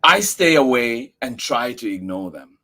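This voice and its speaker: A man reading a picture book aloud for children, expressive and earnest, with emphatic delivery. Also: mid-range voice, a little slow, faint music behind.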